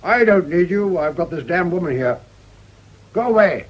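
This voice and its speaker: Radio drama old general: husky, gruff and bossy, widely swooping delivery, blunt fatherly orders. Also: high voice, animated, moderate pace, muffled recording. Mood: bluff urging. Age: older. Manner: blunt, commanding, clipped phrases.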